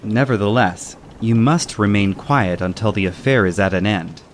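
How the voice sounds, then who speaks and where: A man playing a courteous, calmly defiant gentleman caballero with a formal, measured manner in a dramatized audiobook. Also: lowish voice, slight background noise, natural voice. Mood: courteous firmness.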